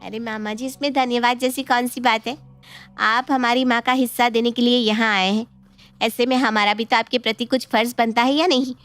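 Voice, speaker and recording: Lady doing sly young niece in kids cartoon story, high sugary voice, competitive, dry sound, soft music. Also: fairly even intonation, normal speed, no echo, lightly acted. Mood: sweetly flattering. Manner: sugary, ingratiating. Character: competitive, scheming young niece, sweetly flattering. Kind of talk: animated Hindi moral story dialogue.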